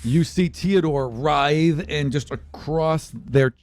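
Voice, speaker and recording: A man's voice: a little high, takes it slowly, darkish tone. A man casually narrating a tabletop role-playing game as the game master. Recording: faint music under the voice. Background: music.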